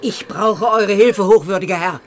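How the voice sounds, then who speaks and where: An actress playing a resolute, insistent medieval midwife who commands yet stays deferential, in a German radio drama. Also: female voice, mid-range voice, moderate pace, slight background noise, close to an everyday voice. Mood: urgent pleading. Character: urgent, resolute medieval village midwife, plain-spoken servant woman.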